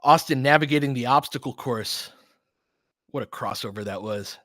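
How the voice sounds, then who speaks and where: A man's voice: mid-range voice, moderate pace, a bit bright, dry recording, clean studio sound. A man doing casual live basketball play-by-play and analysis, chatting informally with listeners and urging the players on like a fan. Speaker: host of a basketball film-room audio stream.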